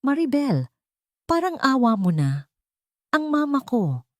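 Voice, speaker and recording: Woman playing a remorseful army commander, pleading, self-reproaching, raised pitch, audio story drama, dry sound. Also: very animated, slow pace, a little mellow, dry recording, exaggerated voice. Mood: pleading, desperate.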